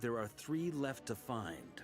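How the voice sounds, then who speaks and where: A man voicing a confident, good-humored seasoned expedition commander in a video game, briefing in a measured, rallying way. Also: mid-range voice, sing-song, music in the background, lightly acted. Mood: serious, explanatory. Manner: measured, assured briefing delivery. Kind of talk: English video game voice acting.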